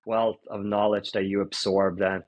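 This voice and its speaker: Low-voiced Swedish-born man telling his career. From Sweden. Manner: relaxed storytelling with frequent "uh" pauses. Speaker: guest, fintech founder. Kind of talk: casual interview answers.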